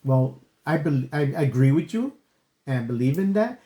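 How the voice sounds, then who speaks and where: A man chatting earnestly on a podcast in a mellow, warm-toned voice, drifting from point to point and padding with "you know." His voice rings in an echoey room, picked up on a desk microphone. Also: on the high side, very animated, moderate pace. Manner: earnest, rambling, repeats "you know" and "stuff like that". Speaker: podcast co-host. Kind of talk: casual chat.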